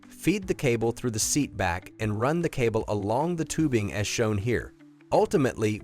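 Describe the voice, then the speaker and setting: Male instructional narrator over music. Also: medium pitch. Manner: clear, methodical step-by-step delivery. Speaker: installation video narrator.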